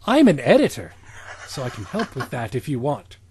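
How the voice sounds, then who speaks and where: A man's voice: medium pitch, huge pitch swings, normal speed, darkish tone, slight background noise. A man co-hosting a comedy podcast, reading reviews aloud and joking around with lots of laughter and swearing. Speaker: podcast co-host.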